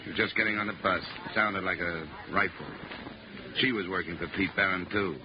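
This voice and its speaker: A hardboiled American private investigator acted by a man with a low, dry voice. He talks in terse, wisecracking, matter-of-fact lines in 1950s radio style. The old recording is muffled and hissy with heavy noise. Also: fairly even intonation, brisk, lightly acted. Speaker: lead actor and first-person narrator. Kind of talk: 1950s radio detective acting.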